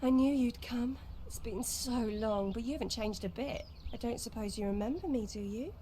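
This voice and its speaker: A woman's voice: a little high, normal speed, warm tone, slight background noise, lightly acted. A woman voicing a sultry vengeful seductress. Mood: flirtatious. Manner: sultry, coaxing. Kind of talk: video game voice acting.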